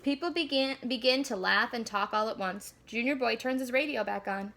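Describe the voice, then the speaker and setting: A woman reading a children's storybook aloud in an animated, deliberate storyteller delivery. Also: on the high side, gravelly. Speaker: school staff member reading aloud.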